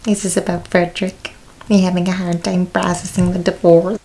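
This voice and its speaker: Woman acting a breezy, creaky-voiced lady lawyer. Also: on the low side, level tone, normal speed, warm tone, lightly acted, low-quality recording. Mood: puzzled, questioning. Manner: theatrical, condescending, calling people darling. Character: breezy, self-important female senior law partner on the phone. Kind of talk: whispered ASMR comedy role play.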